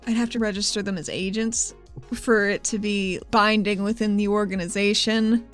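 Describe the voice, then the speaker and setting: A woman's voice: mid-range voice, steady tone, moderate pace, faint music behind. A woman playing a tabletop game in character, talking in a bubbly, playful and enthusiastic way. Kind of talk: in-character role-play.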